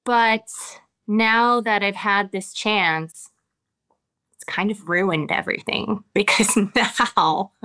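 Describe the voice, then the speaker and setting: An American woman, an actress with children of her own, giving reflective interview answers with many pauses and restarts. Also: medium pitch, fairly even intonation, pushed and bright, smooth. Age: adult with children. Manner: thoughtful, halting, many restarts and fillers.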